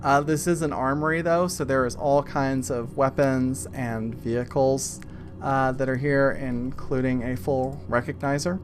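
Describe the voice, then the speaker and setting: A man improvising narration as game master of a tabletop game, describing scenes in a casual, halting way. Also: mid-range voice, little pitch movement. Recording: music playing behind voice. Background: clear background music.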